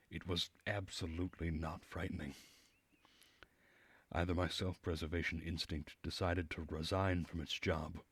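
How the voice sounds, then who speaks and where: A man streaming a visual novel, reading the narration aloud in a measured way with dramatic pauses. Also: low-pitched, breathy edge, no echo.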